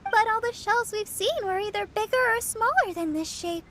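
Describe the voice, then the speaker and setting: A woman's voice: very high-pitched, normal speed, a bit bright, slight background noise. A woman voicing a sweet, earnest, curious young girl in a video game. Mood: curious, thoughtful. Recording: music behind the voice.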